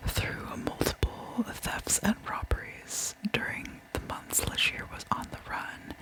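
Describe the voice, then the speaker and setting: Slow whispering woman narrating ASMR. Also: poor audio. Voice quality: soft breathy unvoiced whisper. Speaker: host of a true crime ASMR channel.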